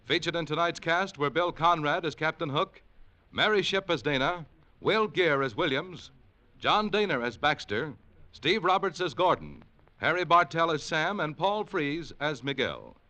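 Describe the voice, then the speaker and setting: Lively, upbeat 1940s radio announcer. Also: male voice, on the high side, normal speed. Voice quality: polished, upbeat, declaiming. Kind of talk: 1940s radio commercial and credits.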